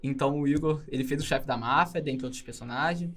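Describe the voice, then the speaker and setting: A man's voice: mid-range voice, a little fast, a bit bright. A young man with a Brazilian Portuguese accent, chatting casually and enthusiastically as an interview guest who works in animation. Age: young adult.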